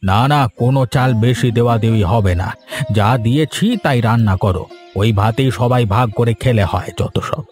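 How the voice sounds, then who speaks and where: A man's voice: medium pitch, fairly quick, darkish tone, close to an everyday voice. A man playing a stingy old grandfather in a Bengali cartoon, scolding and bossy as he grumbles at his household. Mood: suspicious, stern. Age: older. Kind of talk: Bengali moral-story cartoon dubbing. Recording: faint music behind the voice.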